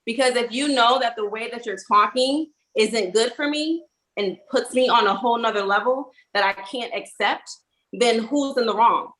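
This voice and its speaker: A woman's voice: fairly high pitch, moderate pace, fairly bright tone. Firm woman in a roomy space. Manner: firm, insistent. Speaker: podcast panelist. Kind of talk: casual panel debate. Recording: roomy, echoing sound.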